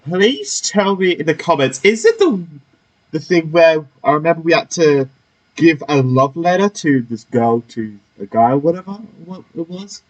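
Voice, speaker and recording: Animated male gamer commentating casually. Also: a little high, normal speed, low-quality recording. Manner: informal, muses aloud, reacting to the game. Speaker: gamer, streamer. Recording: game music faintly behind.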